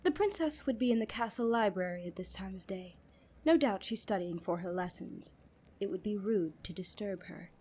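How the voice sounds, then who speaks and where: A woman's voice: medium pitch, normal speed, darkish tone, husky, natural voice. A woman playing a loyal, guarded royal knight in a fantasy audio drama, speaking formally and with composure. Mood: firm, guarded. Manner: formal, composed, coolly defiant. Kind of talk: fantasy audio roleplay acting.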